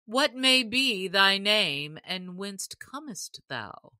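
Lady playing a pompous old-timey sheriff guy, hot tempered, slow and sharp. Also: medium pitch, lively intonation, dry recording, voice pushed up, full character voice. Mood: pompous, persuasive. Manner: pompous archaic speech. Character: haughty, quick-tempered male sheriff of storybook England.

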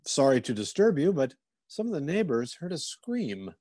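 A man playing a mellow, inquisitive policeman. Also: fairly high pitch, sing-song, moderate pace, dry recording, studio quality. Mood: businesslike. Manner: polite, musing, probing. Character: courteous, inquisitive male police officer. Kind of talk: old-time radio theater acting.

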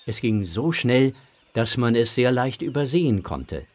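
A male narrator tells a children's story with a very animated melody. His voice is husky and mid-pitched, and his delivery is expressive. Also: a little slow.

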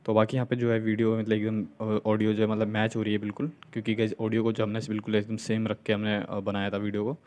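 Fast, even-toned young man giving a tutorial. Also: fairly low pitch. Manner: repeats check-in tags and filler phrases. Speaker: video editing tutorial host. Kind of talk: casual step-by-step screen tutorial narration. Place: voice-over on a screen recording.

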